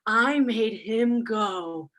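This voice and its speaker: An actress playing a smug, snarky demon in a comic stage monologue, sarcastic and conversational, boasting about corrupting mortals. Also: female voice, fairly high pitch, extremely slow, fairly bright tone, heavily acted. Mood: smugly amused. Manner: sarcastic, conversational, casual swearing.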